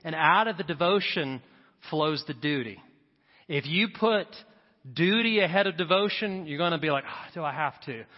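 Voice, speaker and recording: A man's voice: fairly high pitch, animated, normal speed. A man preaching a sermon to a congregation, emphatic and repeating his key lines for effect. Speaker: pastor. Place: church sanctuary. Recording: reverberant hall, dull sound. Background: church congregation.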